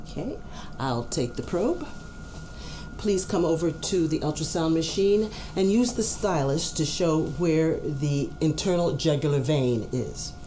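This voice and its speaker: A woman's voice: deep voice, expressive, measured pace, dark tone, a little hiss or hum. A woman playing a formal, courteous doctor giving instructions as an examiner. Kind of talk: scripted exam demonstration.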